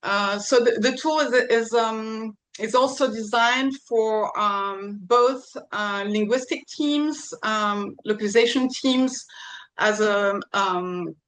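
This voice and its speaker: A woman presenting a tool over a video call, hesitant and filling pauses with ums and apologies. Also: a little high, fairly bright tone. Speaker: conference presenter. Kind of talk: live online tech demo.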